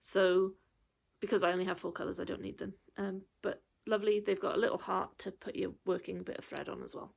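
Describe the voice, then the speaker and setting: Woman, 30s, rambling show-and-tell crafter, a bit breathless, gravelly edge. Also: medium pitch, fairly even intonation, a little fast, a little mellow. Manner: chatty, rambling with many ums. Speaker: cross stitch vlogger.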